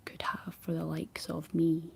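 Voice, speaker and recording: A woman's voice: deep voice, monotone, measured pace, creaky, husky, heavily acted. A woman whispering the lines of a menacing fairy woman in green, nasty and cold in tone.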